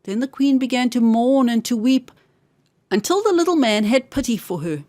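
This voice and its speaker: A woman storyteller reads a fairy tale for children with careful, expressive diction. Her voice is high and strongly animated, recorded dry with hardly any room around it. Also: moderate pace, no echo.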